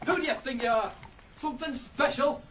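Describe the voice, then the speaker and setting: A man's voice: very high voice, brisk, very noisy. A young male actor playing a blunt, hard-working farmhand in a radio drama. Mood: furious. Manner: blunt, plain-spoken. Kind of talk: 1980s radio drama acting.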